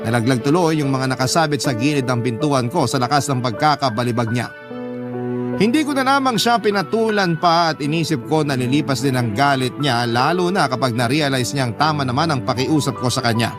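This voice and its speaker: A man's voice: medium pitch, lively intonation, a little fast. A man reading a dramatic scripted story as a storyteller. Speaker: storyteller reading a listener's letter. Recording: music behind the voice. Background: background music.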